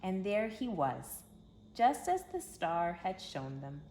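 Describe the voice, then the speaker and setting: A woman reading a children's story aloud in an expressive, emphatic storytelling manner. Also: mid-range voice, slow pace. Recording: roomy echo with background hiss.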